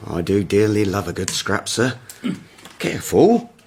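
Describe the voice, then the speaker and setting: Scrappy victorian sergeant, bouncy lively voice, bluff. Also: male voice, lowish voice, measured pace, a little hiss or hum. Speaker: police sergeant. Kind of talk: radio drama acting.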